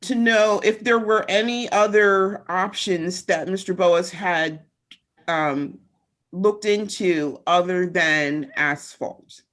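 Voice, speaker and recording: Slow, careful woman councilor. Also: lowish voice, fairly even intonation, a bit bright. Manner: slow and careful, with pauses and fillers. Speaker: city councilor. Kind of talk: meeting discussion. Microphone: video call.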